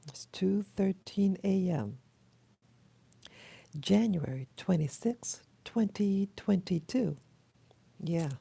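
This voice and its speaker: A woman in her late fifties with an American accent, speaking haltingly and reflectively in a casual personal vlog, her voice hoarse from having just woken up. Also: lowish voice, dark tone, dry recording. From Queens, New York City, USA. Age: 50s, almost sixty. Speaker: vlogger. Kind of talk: casual personal dream journal.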